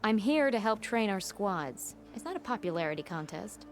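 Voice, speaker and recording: A woman's voice: medium pitch, big pitch swings, normal speed, soft background music. An actress playing a no-nonsense female arena keeper and trainer in a video game, businesslike and plain. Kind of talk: video game character acting.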